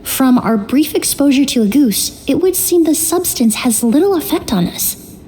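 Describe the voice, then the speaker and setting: A woman voicing a calm, analytical young perfumer who reasons aloud in a measured way. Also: high-pitched, slight background noise. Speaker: investigator in a game story. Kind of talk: video game voice acting. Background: faint game music.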